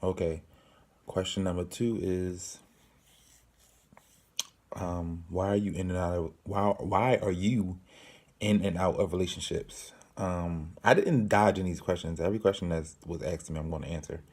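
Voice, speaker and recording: A man in his late twenties hosting his own channel, answering viewer questions in a slow, earnest way with many ums and pauses. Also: deep voice, fairly even intonation, a little mellow. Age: 20s. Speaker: channel host. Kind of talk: casual Q and A to camera.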